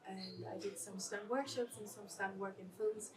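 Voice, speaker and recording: A woman from Germany, an actress and former boxer, talking on a festival panel in an upbeat, halting way with restarts. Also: mid-range voice, steady tone, a crowd in the background. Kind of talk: casual panel talk. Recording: distant mic with audience noise.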